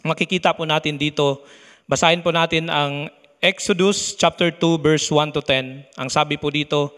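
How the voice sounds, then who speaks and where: A man with a Filipino accent and Tagalog as his first language, preaching at a church with a halting, slightly nervous, reverent manner, recorded in a reverberant hall. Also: fairly high pitch, fairly even intonation, fairly bright tone. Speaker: church preacher, first-time guest speaker. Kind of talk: bilingual sermon with prayer and scripture reading.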